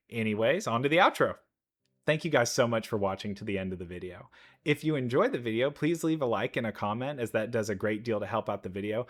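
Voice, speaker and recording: A man giving casual reaction commentary as a video creator watching game trailers. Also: mid-range voice.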